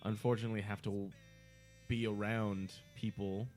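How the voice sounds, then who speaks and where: A man's voice: fairly low pitch, little pitch movement, normal speed, a little mellow, soft background music. A man co-hosting a podcast in casual chat, swearing freely and mimicking the people he argues with. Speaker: podcast co-host.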